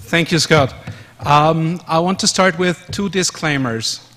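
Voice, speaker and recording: Slow Austrian man with German accent. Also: fairly high pitch, fairly bright tone, a little hiss or hum. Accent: German-speaking Austrian. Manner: measured, with fillers and self-corrections. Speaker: conference speaker. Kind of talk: conference presentation. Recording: long hall reverb.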